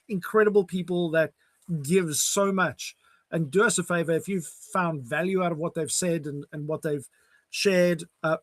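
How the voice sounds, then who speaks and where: Encouraging guy hosting a live chat on a video call, kinda high voice, super dry sound. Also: fairly bright tone, dry recording. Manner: encouraging, thankful, upbeat. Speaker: host. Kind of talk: casual chat wrap-up on a live stream.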